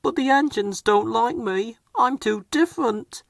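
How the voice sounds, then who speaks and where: A man's voice: very high-pitched, lively intonation, a little slow, gravelly, no room sound, clean studio sound, voice pushed up, full character voice. A man voicing an earnest, sensitive male crane engine who is new, in a children's storybook. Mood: sad, unsure.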